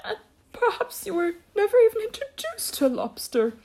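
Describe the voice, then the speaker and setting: Storybook turtle creature, very high-pitched, swooping intonation, effusive, excitable and tearful. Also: female voice, normal speed, full character voice. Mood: mournful. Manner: exclamatory, effusive. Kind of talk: storybook character voice.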